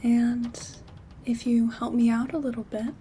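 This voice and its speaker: A woman playing a gentle, caring witch herbalist in an ASMR roleplay, soft-spoken and often whispering in a soothing, intimate way. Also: fairly high pitch, little pitch movement, measured pace, natural voice. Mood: calm, caring. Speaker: ASMR performer. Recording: soft rain and handling noise. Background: rain sounds, craft rustling.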